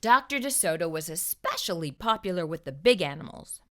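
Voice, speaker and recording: A woman hosting a children's storytime, reading a picture book aloud in an expressive narrating style. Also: lowish voice, brisk. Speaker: children's storytime host and reader.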